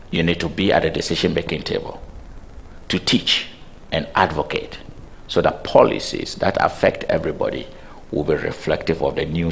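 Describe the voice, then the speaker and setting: A man's voice: very deep voice, gravelly, a little hiss or hum. A man speaking earnestly as a panelist and scientist, advocating for inclusive community change. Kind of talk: panel discussion.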